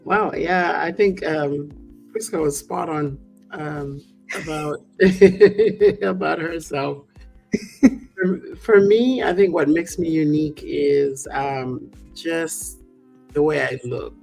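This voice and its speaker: Deep-voiced woman with gravelly fry. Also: faint music behind. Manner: relaxed, thoughtful, pauses with ums. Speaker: co-host. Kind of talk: casual chat.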